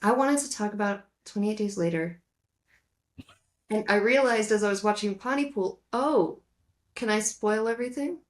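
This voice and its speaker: A woman's voice: mid-range voice, moderate pace. A woman co-hosting a casual film discussion, talking in a playful, jokey way that wanders into digressions. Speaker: podcast co-host.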